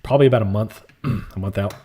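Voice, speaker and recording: A man hosting a live stream, chatting casually and calmly while answering viewer comments in a soft, laid-back way. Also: on the low side, fast talker, breathy edge. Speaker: livestream trading host.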